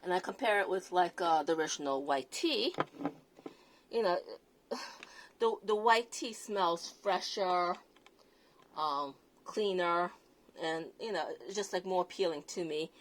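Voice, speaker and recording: A woman's voice: fairly low pitch, animated, measured pace, pauses often. A woman with a non-native accent reviewing perfume in a casual, hesitant way, full of fillers and restarts. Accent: non-native English accent. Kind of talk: casual product review vlog.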